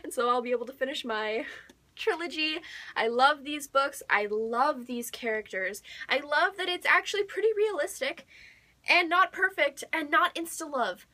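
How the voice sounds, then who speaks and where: High, bright-voiced gushing young American woman. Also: moderate pace. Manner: gushing, emphatic, repeats words for emphasis. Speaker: book vlogger. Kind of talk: casual excited chat to viewers.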